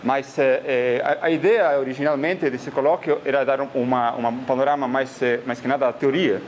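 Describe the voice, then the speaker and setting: A man with an Argentine accent gives a physics lecture in a hall. He hesitates often and keeps filling pauses with little sounds. His voice sits mid-pitch, and a clear hiss runs under the recording. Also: steady tone, highs cut off. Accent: Argentine Spanish. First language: Spanish. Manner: hesitant, many filler sounds. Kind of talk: academic colloquium talk.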